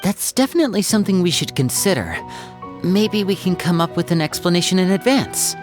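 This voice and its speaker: A woman voicing a calm, considerate, gently amused adult in a fantasy game, polite and thoughtful. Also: on the low side, fairly quick, faint music behind, lightly acted. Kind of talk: video game character acting.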